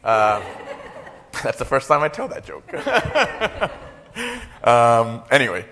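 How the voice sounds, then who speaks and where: A man's voice: medium pitch, sing-song, slow, sharp tone, slight background noise. A man of around forty from Rica, giving a keynote lecture with a lapel mic. He speaks hesitantly, with many fillers and small jokes. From Costa Rica. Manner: hesitant, filler-heavy, joking. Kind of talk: academic lecture. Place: large lecture hall. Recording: long reverb in a lecture hall.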